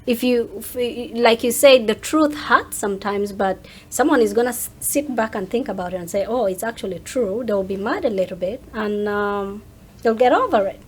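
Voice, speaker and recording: A woman's voice: medium pitch, moderate pace, a little hiss or hum. A woman speaking casually as a guest in an interview, talking about herself as a content creator. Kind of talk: casual chat.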